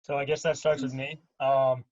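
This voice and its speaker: A man's voice: medium pitch, level tone, normal speed, darkish tone, dull audio. A young man from Maine, a college student, speaking in a matter-of-fact way. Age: young adult.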